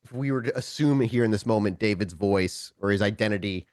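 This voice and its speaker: A man's voice: on the low side, a little fast, darkish tone, dry recording. Man game master with a dry, close sound. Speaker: game master of a streamed tabletop roleplaying show. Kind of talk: improvised second-person narration mixed with casual game talk.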